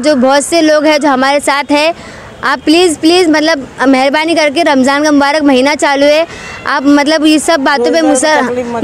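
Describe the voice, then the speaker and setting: A woman's voice: high voice, slight background noise. A woman giving an unscripted, impassioned political statement, admonishing and repeating her appeals insistently.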